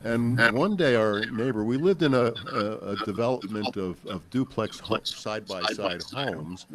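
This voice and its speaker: An older man with an American accent recounts family memories to an audience in a halting, deliberate, reflective way. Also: fairly low pitch. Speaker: panel speaker sharing family recollections. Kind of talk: unscripted storytelling to an audience.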